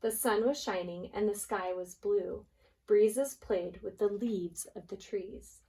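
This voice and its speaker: A woman's voice: mid-range voice, takes it slowly, warm tone, low-quality recording. A woman preschool teacher reading a storybook aloud for young children, explaining things expressively as she goes.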